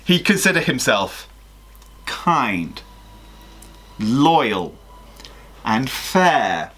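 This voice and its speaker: Young man, highly varied pitch, expressive amateur storyteller with dramatic emphasis. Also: extremely slow, husky. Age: young adult. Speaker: guest reader on a livestream. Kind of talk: expressive fan fiction story reading with casual asides. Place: small home room with sloped ceiling.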